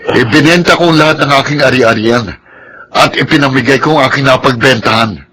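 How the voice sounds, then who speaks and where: A man's voice: mid-range voice, a little fast, breathy edge. A man playing an aggrieved, hot-tempered patient in a radio drama, speaking emphatically. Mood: outraged. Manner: forceful, emphatic.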